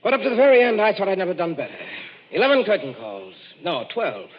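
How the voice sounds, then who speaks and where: An actor playing a vain, blustering stage star in an old radio drama, talking in a theatrical way. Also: male voice, sits high, very animated, fast, husky, full character voice. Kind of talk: 1940s radio acting. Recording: dull old radio broadcast, reverberant.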